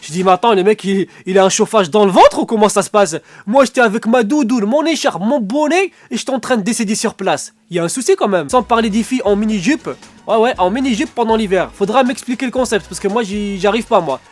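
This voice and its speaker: A man's voice: high voice, fast talker, faint music behind. A man with a stuffy nose doing a rambling comic vlog from under a duvet at home, acting out little dialogues. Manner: joking, rambling, acts out dialogues. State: stuffy nose, sore throat. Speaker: vlogger.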